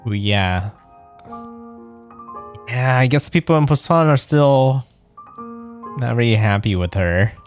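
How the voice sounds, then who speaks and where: A man streaming a video game with casual, hesitant commentary, musing aloud in halting phrases. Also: mid-range voice, animated, faint music behind. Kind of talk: casual let's play commentary.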